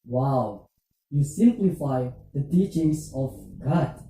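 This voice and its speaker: A woman voicing an older male Islamic teacher in a puppet play, patient and instructive, with measured questioning. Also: extremely low pitch, animated, warm tone, reverberant, far from the mic, voice pushed down, full character voice, rough recording. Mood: patient, probing.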